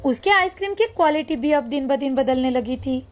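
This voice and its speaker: High-voiced woman storyteller. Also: animated, moderate pace. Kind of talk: scripted narration of a moral story. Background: music.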